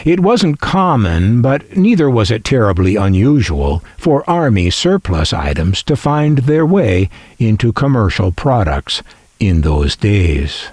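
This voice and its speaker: Unhurried man narrating with animated, wry delivery. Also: fairly low pitch, breathy edge, dry recording, studio quality. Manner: wry, folksy asides. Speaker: collector and narrator. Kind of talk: scripted narration.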